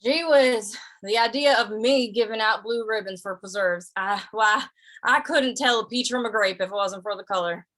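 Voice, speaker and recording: A woman's voice: medium pitch, moderate pace, a bit bright, close to an everyday voice, poor audio. A woman playing a modest, easily flustered cowboy in an old-time radio western, stammering and folksy. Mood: bewildered, musing. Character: mild, flustered, modest cowboy Britt, a man.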